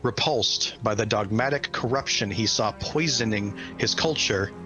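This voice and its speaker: A man hosting a tabletop game as game master, with deliberate, dramatic storyteller phrasing. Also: lowish voice. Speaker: game master, host and game developer. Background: music.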